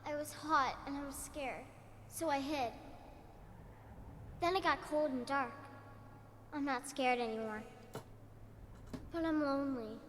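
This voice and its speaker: High, echoing little ghost girl. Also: unhurried, long pauses, fairly bright tone. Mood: lonely, wistful. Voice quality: childlike. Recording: long echoing reverb, background game noise.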